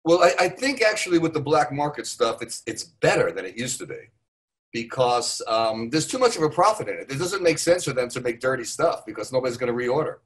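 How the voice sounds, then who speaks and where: A man's voice: medium pitch, sing-song, fairly quick. Older man, hesitant rambling podcast guest. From United States. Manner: rambling, hesitant with many fillers and restarts. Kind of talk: casual podcast chat. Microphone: computer mic on a remote call.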